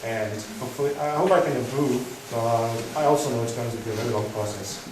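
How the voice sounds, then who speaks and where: A young Danish man, a native Danish speaker, answering audience questions in English with many pauses and restarts. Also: medium pitch. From Denmark. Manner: hesitant, many fillers and restarts. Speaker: guest speaker. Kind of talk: live Q&A answers. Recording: echoey hall, mic far off, noisy.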